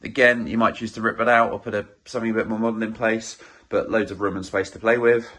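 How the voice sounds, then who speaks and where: Croaky estate agent, lower-pitched, upbeat and conversational, many fillers. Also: male voice, little pitch movement, quick pace, fairly bright tone. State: slightly croaky voice. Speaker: estate agent giving a property tour. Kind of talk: casual walk-through property tour. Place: inside a small house, walking room to room.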